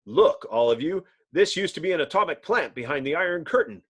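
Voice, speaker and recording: A man's voice: on the high side, lively intonation, brisk, a bit bright, breathy edge, full character voice. A man voicing a commanding scientist hero in a comic book, explaining things earnestly and decisively. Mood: urgent. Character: brisk, commanding male scientist hero, team leader.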